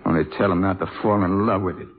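A man playing a bitter, hard-drinking, disillusioned jazz trumpeter in a 1950s radio drama, talking in slangy, halting phrases. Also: low-pitched, steady tone, close to an everyday voice. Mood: broken, despairing. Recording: tinny 1950s radio recording.

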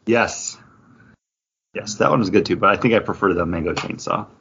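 A man's voice: sits low, normal speed, gravelly, fairly bright tone, slightly rough. A man chatting casually as a podcast co-host. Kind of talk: casual conversational podcast chat. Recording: dull, lo-fi podcast audio.

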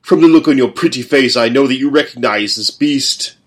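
A man's voice: medium pitch, moderate pace, voice pushed up, natural voice. A man voicing a brutal, sneering torturer father who growls his taunts. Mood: menacing.

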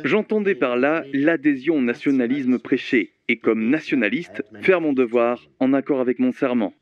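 A man plays a former official on trial in a radio play, his voice of middle pitch. He testifies in a stiff, formal, bureaucratic way, justifying himself. The sound is dull and lo-fi. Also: normal speed, lightly acted. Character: accused former Nazi official on trial, rigid, self-justifying. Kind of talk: radio drama acting.

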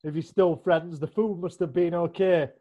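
A man's voice: fairly high pitch, fairly even intonation, moderate pace. A man hosting a casual interview, asking long, rambling questions. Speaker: podcast host. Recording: dull, lo-fi sound.

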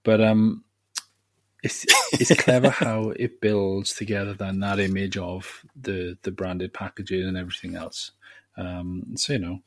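A man with a lowish voice talks through podcast news in a loose, rambling way, dotted with fillers. The recording is dry and close to the mic. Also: a little slow, no room sound. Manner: relaxed, rambling with many fillers. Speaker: podcast co-host and editor. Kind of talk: casual chat.

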